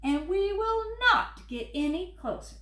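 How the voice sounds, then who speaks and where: A woman putting on a lovable furry monster from a storybook, high-pitched and fretful, who begs and gasps in a frantic, pleading way. The voice bounces around a roomy, echoing space. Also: lively intonation, measured pace, a bit bright, full character voice. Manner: frantic, begging, gasping. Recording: roomy echo.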